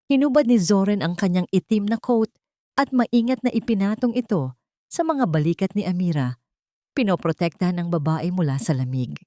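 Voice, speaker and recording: Low-voiced woman narrating a dramatic story. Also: expressive, normal speed, warm tone, dry recording, clean studio sound. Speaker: narrator of audio drama stories.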